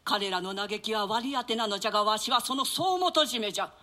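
A man's voice: high-pitched, fairly quick, bright, forceful tone. A man playing an elderly royal mother in a classical stage tragedy, speaking in archaic, declamatory phrases and full of grief. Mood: grieving, wailing. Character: grieving elderly royal mother, proud and lamenting. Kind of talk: theatrical classical Japanese play reading.

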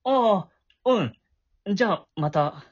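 A man's voice: high voice, expressive, slow pace, husky, no echo, full character voice. Guy doing a shy awkward middle school boy w a crush, flustered n stammery, voice jumps around, kinda dull lo-fi audio. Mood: flustered, eager. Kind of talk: amateur voice drama acting with narration.

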